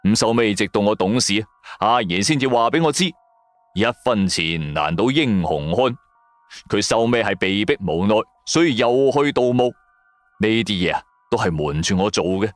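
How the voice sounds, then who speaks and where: A man's voice: lowish voice, lively intonation, moderate pace, dry recording. A man with a Hong Kong Cantonese accent narrating a first-person story for a radio drama in a colloquial storytelling manner. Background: music.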